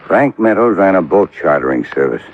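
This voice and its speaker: Husky, low small-town police lieutenant. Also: male voice, very animated, exaggerated voice. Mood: laconic, matter-of-fact. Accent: American. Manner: unhurried, folksy, noncommittal. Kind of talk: 1950s American radio drama acting. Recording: dull vintage radio recording.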